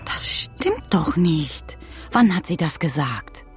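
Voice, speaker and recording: An anxious, earnest woman in a radio crime play, devoted and disbelieving. Her voice sits a little low and she speaks in a questioning way, with faint music behind. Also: sing-song, slow pace, full character voice. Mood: suspicious, upset. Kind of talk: German radio crime drama acting.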